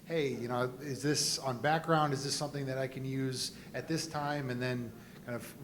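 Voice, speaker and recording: A man's voice: steady tone, moderate pace. A man moderating a panel, asking questions conversationally in roundabout, hesitant phrasing. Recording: rumbly, clearly noisy room recording.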